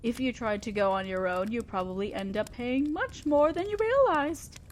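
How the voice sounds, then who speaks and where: A woman's voice: on the high side, animated, normal speed, slight background noise, lightly acted. A woman playing a refined, teasing demon lord in an audio roleplay, addressing a mortal servant with genteel, indulgent, theatrical playfulness. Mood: indulgent, pleased.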